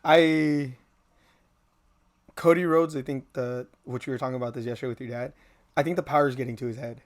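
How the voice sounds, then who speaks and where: A man's voice: mid-range voice, steady tone, fairly quick. A young man co-hosting a casual podcast, easygoing and prone to short questions. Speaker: podcast co-host. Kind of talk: casual chat.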